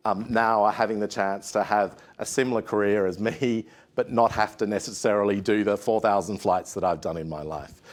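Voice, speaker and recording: Australian man giving a conference talk. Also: fairly low pitch. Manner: many fillers and restarts, measured. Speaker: panel speaker.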